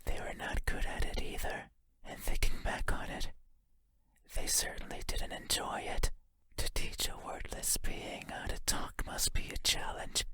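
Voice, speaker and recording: A man voicing a lonely, yearning monster for a horror game, in a breathy whisper with a halting, intimate manner. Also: pushed and bright. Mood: wistful, hesitant. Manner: halting, intimate, musing, formal phrasing. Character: lonely wordless monster lurking in the dark, yearning, eerie. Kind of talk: video game horror monologue.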